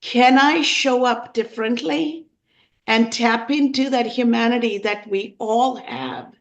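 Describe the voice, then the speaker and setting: Woman, fifties, from Sri Lanka, raised pitch, pronounced vocal fry, earnest and emphatic, interview storytelling. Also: measured pace, lo-fi sound. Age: 50s. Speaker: podcast guest.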